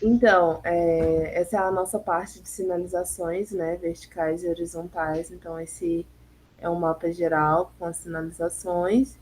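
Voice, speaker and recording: A young woman presents her part of a class project. She hesitates and fills the gaps as she explains. Her voice is mellow, with a faint hiss in the background. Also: on the low side, little pitch movement, moderate pace, poor audio. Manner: hesitant, many fillers. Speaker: architecture student.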